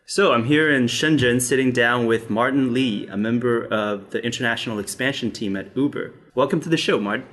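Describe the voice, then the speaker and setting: A man's voice: medium pitch, fairly quick, few pauses, fairly bright tone, a little hiss or hum. A man speaking as a podcast host, introducing the show and putting questions to a guest. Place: sit-down interview in Shenzhen.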